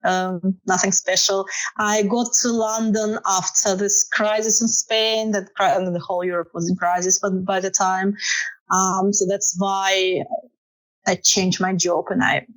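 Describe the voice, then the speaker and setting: A woman's voice: medium pitch, level tone, unhurried, rough recording. A woman with a Russian accent, a photographer answering interview questions casually, with many fillers and frequent laughter. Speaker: photographer guest.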